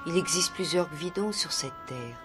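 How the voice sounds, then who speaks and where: High-voiced gentle swan played by a man. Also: animated, moderate pace, natural voice. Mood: gently reproving. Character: wise, gentle talking swan adviser. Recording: music behind the voice.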